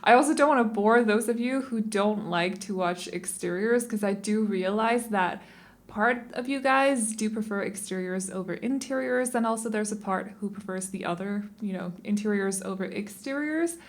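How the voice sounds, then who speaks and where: A woman's voice: medium pitch, normal speed. A young Danish woman, not a native English speaker, talks casually over her speed build video, rambling and often correcting her own word choices. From Denmark. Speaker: game building channel host.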